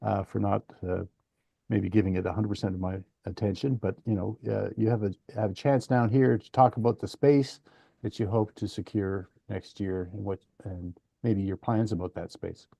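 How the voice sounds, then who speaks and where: Male chair, gravelly low voice, dry sound, reads motions formally. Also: steady tone, moderate pace, dark tone, no echo. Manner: procedural, casual asides, reads motions formally. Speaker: chair of a council meeting. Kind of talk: chairing a formal municipal meeting.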